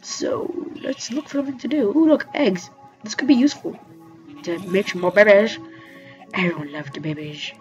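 A woman giving rambling, excitable let's-play commentary over her gameplay, talking straight to her viewers. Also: medium pitch, normal speed. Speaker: gaming commentator. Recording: dull lo-fi mic with faint music.

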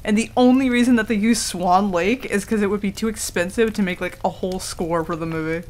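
A woman's voice: medium pitch, fairly quick, darkish tone, close to an everyday voice. Woman acting a prickly werewolf girl, noisy background. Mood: enthusiastic. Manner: casual, defensive, stammering when embarrassed, swears. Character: prickly, easily flustered tsundere female werewolf. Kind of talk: intimate ASMR roleplay acting. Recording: clearly audible background noise.

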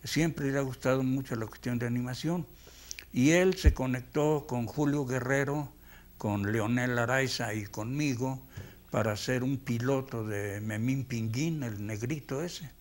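A man in his eighties with a Mexican accent, reminiscing reflectively in an interview, often searching for words. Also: medium pitch. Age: 80s. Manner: halting, word-searching, reflective. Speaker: veteran animator being interviewed.

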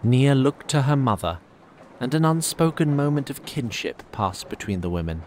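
A man's voice: medium pitch, expressive, moderate pace, a little mellow, a little hiss or hum, lightly acted. A man reading scripted narration for a fantasy audio drama.

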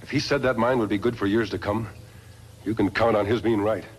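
An actor playing a steadfast, noble western hero in a 1950s radio drama, measured, earnest and authoritative. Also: male voice, on the low side, steady tone, lightly acted. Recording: noisy vintage 1950s radio recording.

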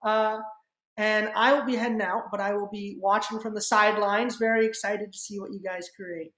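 A woman's voice: mid-range voice, steady tone, moderate pace, fairly bright tone. A young woman talking casually to a group over a video call as a club organizer. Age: young adult. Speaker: audio drama club organizer. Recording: echoey room on video call.

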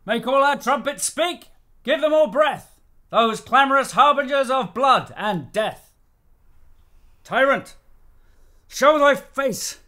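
An actor playing a fierce, grieving, vengeful warrior in a classical radio drama, declaiming impassioned verse. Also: male voice, very high-pitched, animated, takes it slowly, long pauses, sharp tone, heavily acted. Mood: rallying, fierce.

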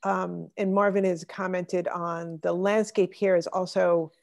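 A woman's voice: on the low side, level tone, measured pace, vocal fry, darkish tone. A woman leading an online art discussion, calling on participants and reflecting on their comments over a video call. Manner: thoughtful, calls on participants by name. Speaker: discussion leader.

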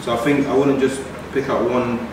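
A relaxed young man talks his way through a recipe in a large modern kitchen. He sounds distant from the camera, with a roomy echo and clear background clatter of pots and pans. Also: medium pitch, normal speed. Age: young adult. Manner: relaxed, explains as he cooks. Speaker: young footballer cooking. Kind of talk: casual cooking vlog chat. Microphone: far camera mic. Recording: echoey kitchen, distant mic, noisy.

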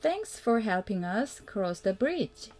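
Slow, mellow woman reading to children. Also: medium pitch, very animated, slight background noise. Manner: simple, deliberate, prompting the listener with questions. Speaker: mother reading a picture book to children. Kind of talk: read-aloud children's storytelling.

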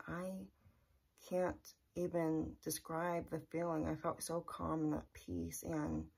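A woman's voice: low-pitched, creaky, a little mellow, slightly rough, low-quality recording. A young woman talking earnestly and plainly to the camera in a casual personal vlog from a small bedroom. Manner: earnest, repetitive, plain-spoken. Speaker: vlogger. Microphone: camera in front at close range.

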